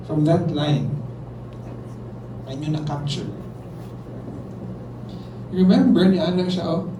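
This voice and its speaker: A man with a Filipino accent runs a workshop, switching between English and local phrases as he asks the class questions. His voice sits a little high, heard from a distance in an echoey room with steady traffic noise behind him. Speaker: workshop facilitator. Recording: roomy, distant, noisy room recording.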